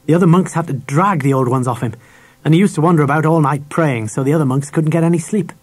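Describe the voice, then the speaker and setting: A man's voice: fairly high pitch, fast, slightly rough, voice pushed up. A man voicing a talkative, opinionated middle-aged schoolmaster in an audiobook, with an emphatic teacherly manner. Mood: chatty opinionated.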